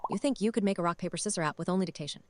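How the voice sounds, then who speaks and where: A synthetic woman's voice reading chat messages aloud in a flat machine delivery. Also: fairly low pitch, racing, no echo. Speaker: text-to-speech chat reader.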